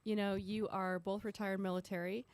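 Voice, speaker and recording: A woman's voice: mid-range voice, little pitch movement, normal speed, a little mellow, dry recording. A woman who is a guest on a radio talk show, chatting and explaining things in an easy-going way. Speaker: studio guest. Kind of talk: casual chat.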